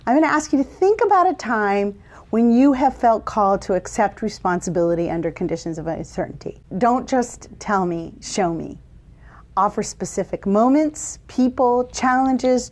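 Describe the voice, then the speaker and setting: A woman facilitator speaks to camera from an office, guiding viewers through a group exercise. She talks in a warm, encouraging and deliberate way, at a mid pitch. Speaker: workshop facilitator. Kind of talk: instructional talk to camera.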